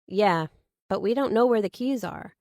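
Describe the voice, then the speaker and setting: A woman's voice: medium pitch, normal speed, darkish tone, no echo, studio quality, voice pushed up, close to an everyday voice. A woman voicing a reserved young heroine in audiobook dialogue, hesitant and matter-of-fact, with understated replies.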